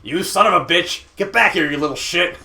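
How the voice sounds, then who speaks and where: A man voicing a furious male company boss who shouts threats and curses in a video game story. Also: sits high, expressive, brisk, gravelly, pitched above the performer's own voice, heavily acted. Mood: raging. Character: furious, foul-mouthed male company boss, a raging villain. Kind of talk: dramatic reading of a video game script.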